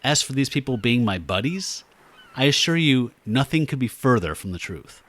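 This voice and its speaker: A man's voice: mid-range voice, sing-song, moderate pace, a bit bright, dry recording. An actor playing a stiff, haughty man who speaks formally and with prim condescension, in the style of an old radio show. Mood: disdainful.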